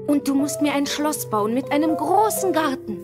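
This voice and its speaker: Gerda, high-voiced playful little girl. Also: lively intonation, moderate pace, over music. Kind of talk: German fairy-tale audio drama acting.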